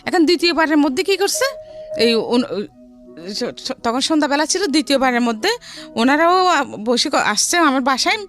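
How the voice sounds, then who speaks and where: A middle-aged woman with a Bangladeshi accent telling her life story in a studio interview, haltingly and often repeating phrases. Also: sits high, animated, a bit bright. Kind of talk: personal storytelling in a radio interview. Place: radio studio. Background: background music.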